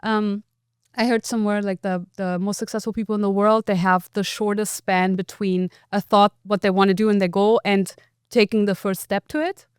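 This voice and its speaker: A woman's voice: medium pitch, flat delivery, clean tone, dry recording, studio quality. A woman from Germany with a German accent, a real estate agent speaking candidly and bluntly as a guest in a casual podcast interview. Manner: blunt, candid, quick restarts.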